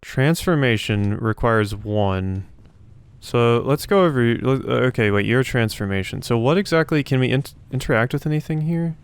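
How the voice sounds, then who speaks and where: A man's voice: mid-range voice, normal speed, clear, resonant tone, no room sound. A man giving casual gameplay commentary, thinking aloud and questioning himself as he goes. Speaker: let's play host.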